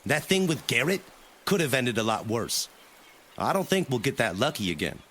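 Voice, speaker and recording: An actor playing an exasperated, hot-tempered, sarcastic boat business owner in an audio drama. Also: male voice, medium pitch, moderate pace, slight background noise. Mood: resigned, worried. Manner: animated, exclaiming, sarcastic.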